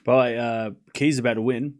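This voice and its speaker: A man's voice: medium pitch, normal speed. A young Australian man streaming live tennis, calling the points and chatting casually with his viewers. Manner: relaxed and rambling, reads chat aloud and answers it, swears casually.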